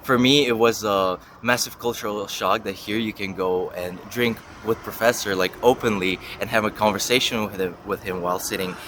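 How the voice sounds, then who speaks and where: A man's voice: fairly low pitch, steady tone, moderate pace, few pauses, fairly bright tone, slight background noise. A young man giving casual interview answers as a university student, thinking aloud and hedging as he goes. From Russia and the US. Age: young adult.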